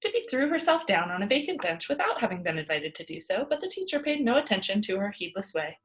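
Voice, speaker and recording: A woman reading a children's story aloud in an expressive narrating style. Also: mid-range voice, fast, husky. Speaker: storybook reader.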